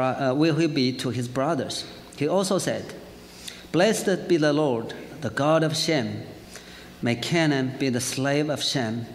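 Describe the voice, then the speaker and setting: A middle-aged man from China with a Chinese accent, preaching a sermon and telling a personal story in a lively way, with frequent fillers. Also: medium pitch. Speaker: pastor. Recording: church hall reverb, faint hiss.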